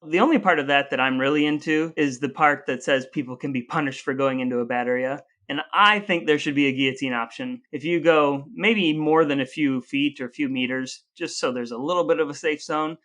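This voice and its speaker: A man's voice: fairly high pitch, brisk, hardly any pauses, a bit bright. An American man co-hosting a podcast, moving between scripted intros and casual chat in a playful, wordy, announcer-like way. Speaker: podcast co-host.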